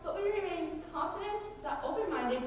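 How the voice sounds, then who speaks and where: Eager, chatty stage narrator, played by a young woman, high-pitched, presentational, heard from afar in a reverberant hall. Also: animated, normal speed. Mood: confiding, earnest. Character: chatty, earnest female narrator of merry band. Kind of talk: high school stage comedy acting. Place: school auditorium stage. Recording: echoey hall, distant mic, noisy.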